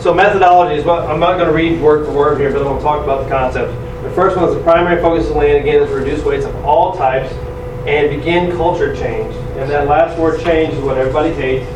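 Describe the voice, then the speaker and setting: A man in his forties giving a loud, energetic, self-deprecating presentation to a conference audience. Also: fairly high pitch, fast. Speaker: conference speaker. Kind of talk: presentation talk. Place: large conference room. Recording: echoey room from far off, noisy.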